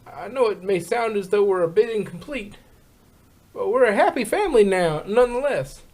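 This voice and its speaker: A woman voicing a kindly elderly farm pony grandmother in a fan fiction reading, with folksy, drawn-out phrasing. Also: mid-range voice, animated, a little mellow, natural voice. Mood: wistful, tender. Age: old.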